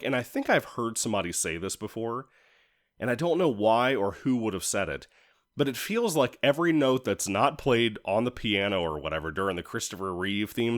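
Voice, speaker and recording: A man in his thirties giving a casual, rambling solo review, informal and enthusiastic, with some mild swearing. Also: lowish voice. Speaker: video reviewer.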